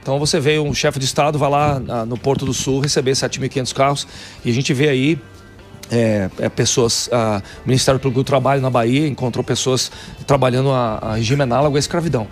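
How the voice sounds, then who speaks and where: A man's voice: mid-range voice, fairly even intonation, fast talker, soft background music. Paulista man explaining with many fillers. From Brazil, São Paulo. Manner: explanatory, fact-listing, with frequent fillers. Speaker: guest, city council member in Texas. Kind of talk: radio talk show interview.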